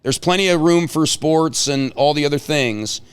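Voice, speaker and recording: A man's voice: on the high side, moderate pace, clean tone. Man hosting an informal, teasing chat. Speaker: host interviewing high school seniors. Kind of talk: casual chat.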